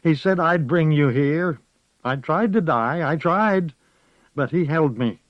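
A man's voice: a little high, animated, takes it slowly, no echo, lo-fi sound, voice pushed up. A man voicing a quavering, frightened old witch. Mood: pleading, frightened. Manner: pleading, quavering.

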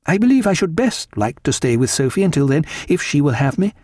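A man's voice: a little high, big pitch swings, a little fast, darkish tone, no room sound, clean studio sound, pitched above the performer's own voice. A man voicing a vivacious, elegant upper-class wife with an exclamatory, refined period manner in an audiobook. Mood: eager, affectionate.

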